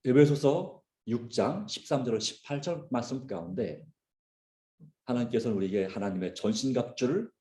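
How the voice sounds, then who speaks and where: A man's soft-edged, mellow voice carries through a reverberant room. He speaks as a pastor giving a sermon, earnestly and deliberately. Key phrases come back again for emphasis. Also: medium pitch. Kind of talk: sermon with personal illustration. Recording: roomy, echoing sound.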